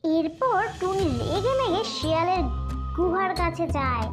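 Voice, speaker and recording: Woman storyteller, extremely high voice, scripted cartoon narration, loud background music. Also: animated, unhurried.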